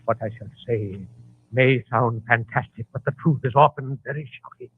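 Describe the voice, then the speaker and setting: An actor in an old radio drama playing a vain, nervous, grandiose leader of a secret society, agitated and given to repeating himself. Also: male voice, medium pitch, fairly even intonation, moderate pace, husky, no echo. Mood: fervent, agitated. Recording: tinny lo-fi vintage radio recording.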